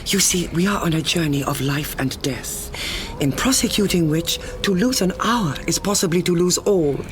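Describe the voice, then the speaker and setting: A woman's voice: lowish voice, moderate pace, lightly acted. An actress playing a mysterious, imperious noble mother in a gothic audio drama, speaking in a formal, grave, theatrical manner. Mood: grave, urgent. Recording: clearly audible background noise and ambience.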